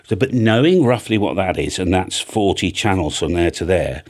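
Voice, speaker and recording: A man's voice: low-pitched, expressive, normal speed. An older man with a British accent giving a casual, off-the-cuff tutorial, chatty and blunt, often thinking aloud. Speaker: radio hobby video presenter. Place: home music studio room with drum kit. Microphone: large studio microphone on an arm, close by.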